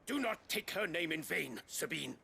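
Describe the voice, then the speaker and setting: An actor playing a haughty, imperious duke in a fantasy game, speaking in a formal, pompous, oratorical way. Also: male voice, on the high side, animated, moderate pace, creaky, bright, forceful tone, a little hiss or hum, heavily acted. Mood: stern indignation. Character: haughty imperious male duke of a fallen empire. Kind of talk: scripted fantasy game acting.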